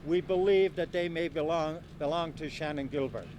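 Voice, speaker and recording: A man speaking as a police official in a halting, plain-spoken statement to the press. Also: a little high, darkish tone, muffled recording. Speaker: police commissioner. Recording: noisy outdoor field interview.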